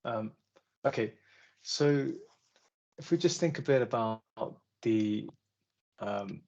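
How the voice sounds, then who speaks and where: A man's voice: medium pitch, expressive, takes it slowly, darkish tone, lo-fi sound. A man asking questions as an investor on a conference call, polite and hesitant, often rephrasing himself.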